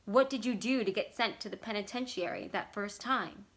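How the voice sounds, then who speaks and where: A woman's voice: mid-range voice, moderate pace, fairly bright tone, close to an everyday voice. A woman voicing an anxious, genteel old grandmother in a story, pleading and dramatic.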